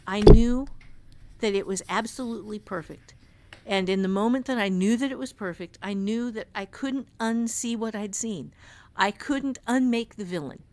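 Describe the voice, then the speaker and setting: A woman's voice: medium pitch, normal speed, darkish tone. A middle-aged woman with an American accent, talking candidly and bluntly as an author and teacher, telling stories in an emphatic, dramatic way. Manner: emphatic, dramatic, blunt, quotes remembered dialogue. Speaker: author and writing teacher, guest. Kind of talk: candid conversational storytelling.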